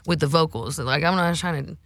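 Low-voiced woman guest, chatty. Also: a little fast, fairly bright tone, no room sound. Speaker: guest, music producer and songwriter. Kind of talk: casual chat.

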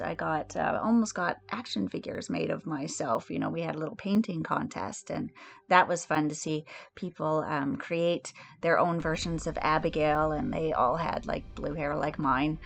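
Woman, lowish pitch, vocal fry, casual chatty testimonial. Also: normal speed. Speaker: voice actor.

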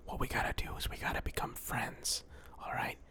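A podcast co-host improvising comedy banter in a hushed, playfully dramatic way. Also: fairly quick, in a whisper.

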